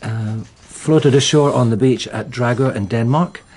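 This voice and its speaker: Actor as matter-of-fact local detective, faint noise. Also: male voice, mid-range voice, measured pace, a little mellow, close to an everyday voice. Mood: methodical. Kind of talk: naturalistic radio drama acting.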